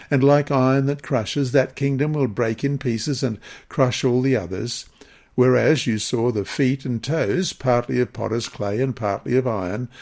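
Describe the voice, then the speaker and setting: A man reads a Bible lesson aloud. His delivery is solemn and measured, with deliberate phrasing, in a voice of medium pitch. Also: darkish tone. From Queensland, Australia. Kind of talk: scripted scripture reading and narration.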